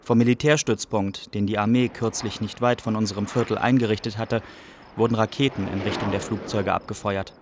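A low-pitched actor as a man looking back on a childhood in war. He narrates for a radio play in a sober, measured, precise way. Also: steady tone, noisy background, close to an everyday voice. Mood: sober, remembering. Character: Iraqi man soberly recalling a wartime childhood. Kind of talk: scripted radio drama narration.

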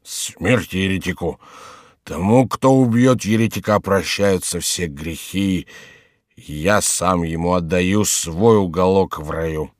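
A man voicing a gluttonous, boastful, drunken monk in a heavy, drawn-out storybook delivery. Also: low voice, dry recording, clean studio sound. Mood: drunken muttering. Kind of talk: dramatised audiobook character acting.

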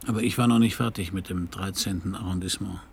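An actor playing a world-weary private detective in a German radio crime drama, terse and gravelly, sometimes hushed. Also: male voice, deep voice, steady tone, fast talker, full character voice. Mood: melancholy, brooding. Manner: terse, laconic. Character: world-weary male private detective, hard-boiled narrator. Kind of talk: German radio crime drama, first-person noir narration and dialogue.

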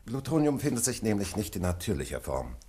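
A deep-voiced, husky male scientist in a radio drama. He explains his laboratory findings in formal, exact phrases, methodical and somewhat puzzled. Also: big pitch swings, brisk, dark tone. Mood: puzzled, emphatic. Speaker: laboratory analyst. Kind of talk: German radio play acting.

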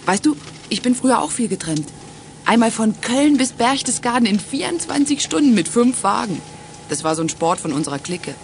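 A woman's voice: on the high side, sing-song, brisk. An actress playing an easygoing, friendly young woman driver in a children's radio play, chatting casually. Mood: chatty, offhand. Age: young adult. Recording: noisy audio with vehicle sounds.

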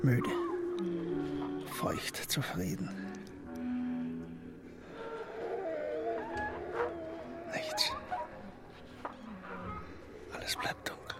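An actor playing a lonely, troubled man thinking aloud in a radio play, in halting fragments. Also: very high-pitched, wildly swooping pitch, over loud music, heavily acted. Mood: anxious, brooding. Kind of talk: radio play interior monologue.

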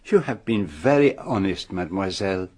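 A shrewd older Belgian detective in a radio drama, with a French-speaking Belgian accent. His formal, measured speech rises and falls in a lively way, and the voice is slightly husky. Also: low-pitched. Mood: polite, knowing.

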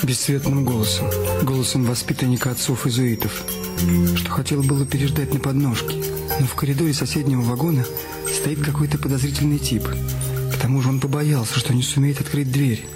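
Man narrating radio drama over loud music. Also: medium pitch, fairly even intonation, fairly quick. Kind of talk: scripted literary narration.

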